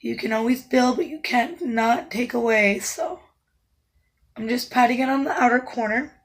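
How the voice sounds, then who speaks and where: A woman makeup artist talking through a tutorial step by step, her naturally raspy voice hoarse and breaking in and out, calm and instructive with soft asides while she works. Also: on the high side, moderate pace. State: hoarse, voice going in and out.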